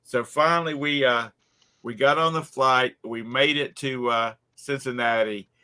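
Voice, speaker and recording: A man's voice: mid-range voice, fairly even intonation, a little slow, pushed and bright, clear, resonant tone. A man with a Charleston accent telling a rambling travel story in casual chat, with many fillers and restarts. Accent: Charleston, South Carolina. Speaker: co-host.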